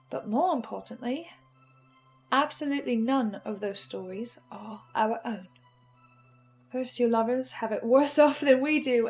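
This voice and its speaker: Woman as troubled researcher with ominous knowledge: higher voice, stammering yet intense monologue, music underneath. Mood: grave, resolute. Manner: halting, stammering, intense, with pauses. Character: anxious female researcher with ominous knowledge, brooding and defiant. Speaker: host reviewing a fiction podcast in character. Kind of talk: dramatic in-character monologue.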